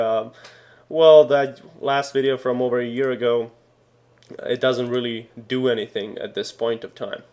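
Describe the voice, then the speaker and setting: A man's voice: medium pitch, a little mellow. A man from Serbia giving a casual coding tutorial, rambling with many fillers and self-corrections. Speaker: programming tutor.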